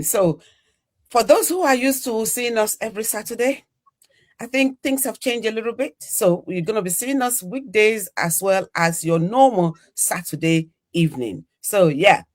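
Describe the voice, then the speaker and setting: A woman's voice: mid-range voice, expressive, moderate pace. An older woman from Nigeria hosting a live online panel, chatty and full of "you know" fillers. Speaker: podcast host.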